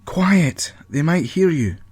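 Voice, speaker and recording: A man's voice: fairly high pitch, expressive, takes it slowly, a little mellow, husky, dry recording, voice pushed up, full character voice. Man acting snappish, secretive inventor, dry recording. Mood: exasperated. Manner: snappish, exasperated. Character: cross, secretive male inventor, irritable and protective.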